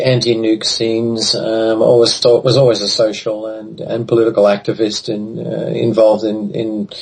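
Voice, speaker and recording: A man in his fifties, raised in Australia and the Netherlands, telling his background slowly in a remote interview, with many pauses and fillers. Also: medium pitch, a little mellow, low-quality recording. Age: 50s. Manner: hesitant, many ums and restarts. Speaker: interview guest. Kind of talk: casual recorded interview.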